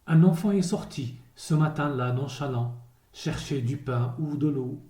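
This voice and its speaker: A man gives a solemn poetry recitation, grave and measured, pausing between short phrases. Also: medium pitch, mellow. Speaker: poet reading a poem. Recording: roomy, echoing voice recording.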